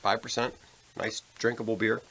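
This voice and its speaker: A man's voice: fairly low pitch, flat delivery, normal speed, sharp tone, a little hiss or hum. An American man co-hosting a podcast in casual conversation over a beer. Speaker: podcast co-host.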